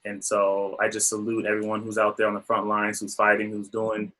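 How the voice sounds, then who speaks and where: A man's voice: fairly low pitch, completely flat delivery, brisk, pushed and bright, rough recording. A man in a podcast discussion, speaking earnestly with many restarts and fillers.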